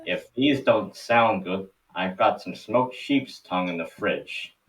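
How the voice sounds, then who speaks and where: A man's voice: low-pitched, slow pace, reverberant, far from the mic, poor audio. A man voicing a cheerful, encouraging grandfather in a cartoon script read. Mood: jovial. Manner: jovial, encouraging. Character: cheerful, hearty grandfather on a summer trip.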